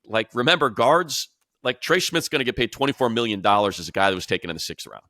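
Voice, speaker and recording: A man's voice: mid-range voice, fast, sharp tone, no room sound, clean studio sound. A man hosting casual sports talk, informal and emphatic, with a self-deprecating streak. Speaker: sports talk show host.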